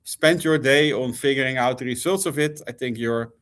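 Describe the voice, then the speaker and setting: A man's voice: medium pitch, moderate pace, fairly bright tone. Dutch-accented man giving a hesitant talk. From Netherlands. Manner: hesitant, many fillers and restarts. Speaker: conference speaker. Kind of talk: presentation talk.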